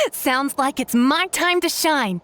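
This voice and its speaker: A woman's voice: high-pitched, fairly bright tone, a little hiss or hum, exaggerated voice. A woman playing a boastful, spirited young huntress in a video game, cocky and self-assured.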